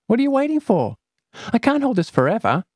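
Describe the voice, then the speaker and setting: A man's voice: very high-pitched, huge pitch swings, a little fast, a little mellow, no room sound, studio quality, pitched above the performer's own voice, heavily acted. A man voicing a timid young girl who stammers and apologizes in a rush. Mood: eager. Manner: stammering, eager and breathless.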